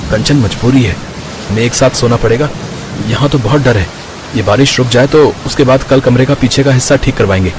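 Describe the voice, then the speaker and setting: A man's voice: medium pitch, a little fast, background noise, close to an everyday voice. A man playing a young husband in a family story, earnest and plain-spoken.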